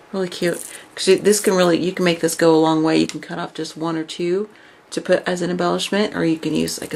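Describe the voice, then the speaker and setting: A woman casually showing her craft projects and chatting about them, her voice raspy from overuse and her talk full of fillers. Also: low voice, slight background noise. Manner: chatty, filler-heavy, enthusiastic. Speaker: craft vlogger. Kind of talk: casual show-and-tell.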